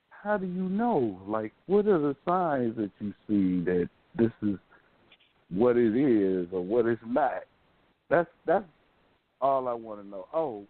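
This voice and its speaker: Low-voiced American man, phone-like sound. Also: dry recording. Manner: rambling, colloquial storytelling. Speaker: radio show host. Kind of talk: casual call-in radio discussion.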